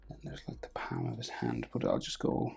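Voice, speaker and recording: An Irish man with a gravelly, husky voice, casually talking through a drawing lesson step by step and trailing off quietly as he works. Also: very deep voice, a little fast, soft background music. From Ireland. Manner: relaxed, thinking aloud while drawing, trailing off quietly. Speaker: drawing tutor.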